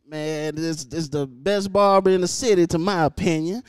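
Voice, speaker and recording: A man's voice: a little high, normal speed, clean tone. A man hosting a casual podcast interview in a barbershop, talking in a laid-back, teasing, slangy way. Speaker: podcast host.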